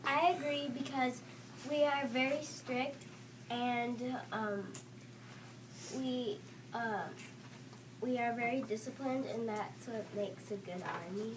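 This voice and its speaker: A woman's voice: on the high side, slow pace, pauses often. A young female student making points in a classroom debate. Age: child or young student. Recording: distant mic, clearly noisy room.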